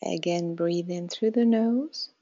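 A woman leading a guided meditation in a calm, measured way, with short instructions and soft phrase endings. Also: lowish voice, dull audio. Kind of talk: guided meditation, slow spoken instructions with long pauses.

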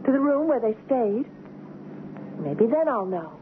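An actress playing a dreamy, determined young fiancée in a radio drama, earnest, wistful and gently insistent. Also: female voice, a little high, very animated, unhurried. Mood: hopeful, teasing. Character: dreamy, determined young engaged woman narrating. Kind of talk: 1970s radio drama acting and narration. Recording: tinny, very noisy 1970s radio broadcast.

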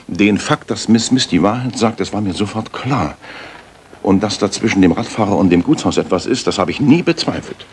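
A man plays a haughty gentleman detective from a 1980s radio drama. His delivery swoops widely, precise and lecturing, full of theatrical self-assurance. Clear noise sits on the old recording. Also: on the low side, animated, a little fast, lightly acted. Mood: scolding, sardonic. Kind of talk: German radio play acting, 1980s.

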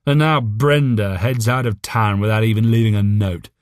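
A man's voice: fairly low pitch, fairly even intonation, normal speed, no room sound, clean studio sound, voice pushed up, close to an everyday voice. Guy reading as a drunk smug scientist in a story, rambling and sardonic, voice jumps way up from his usual. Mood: morbid, bitter. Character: drunk, morbid, smug, cynical male rocket scientist.

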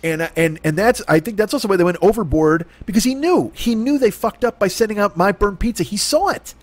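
Man, Chicago accent, high voice, profane ranting, comic monologue. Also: lively intonation, a little fast, no room sound. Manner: ranting, profane, exasperated storytelling. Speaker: podcast host.

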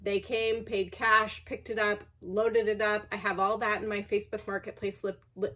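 A woman's voice: medium pitch, level tone, moderate pace, sharp tone. A woman in her thirties, a bit congested and sniffly, chatting casually as she packs her online sales, with fillers and self-deprecating asides. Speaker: online reseller. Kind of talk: chatty packing vlog. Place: large cluttered indoor workspace.

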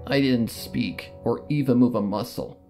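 A man's voice: medium pitch, normal speed, a little mellow. A man reading a dramatic first-person story in a solemn, theatrical manner. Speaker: fan fiction narrator. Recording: music behind the voice.

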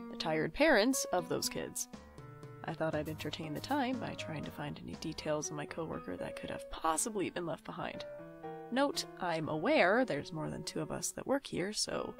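A woman's voice: lowish voice, vocal fry. A woman playing a wry, hapless twenty-five-year-old in an audio drama, self-deprecating, with dry asides and an anxious streak. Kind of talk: audio drama narration and dialogue.

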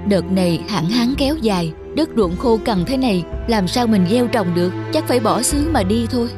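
A humble young peasant from a cartoon fairy tale speaks over clear music , acted by a woman. He is polite and honest, with a high voice and very lively intonation. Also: moderate pace, natural voice. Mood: earnest. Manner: polite, deferential. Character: meek, honest young peasant man, hard-working. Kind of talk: children's folk-tale cartoon dubbing. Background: background music.

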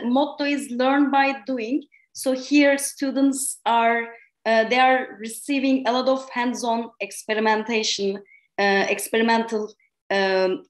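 A woman's voice: on the high side, roomy sound. A woman in her thirties from Turkey with a Turkish accent, a lecturer giving an informal scientific presentation online. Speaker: university lecturer and invited speaker. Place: indoor room, remote connection to a virtual event.

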